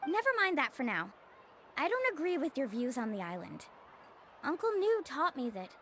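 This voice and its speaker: A woman's voice: sits high, big pitch swings, normal speed, a bit bright, slight background noise, heavily acted. A woman playing a friendly, earnest young guide and loyal student in a video game. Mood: firm. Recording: faint music behind the voice.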